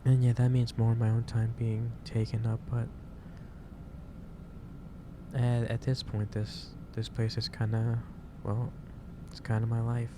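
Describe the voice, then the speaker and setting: A man playing a gentle, caring office boss in a soft-spoken ASMR roleplay, talking in a halting, reassuring way. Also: medium pitch, monotone, close to an everyday voice. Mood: sheepish, caring. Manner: halting, reassuring, unhurried delivery. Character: gentle, caring male office boss lecturing an overworked employee. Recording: clearly noisy background ambience.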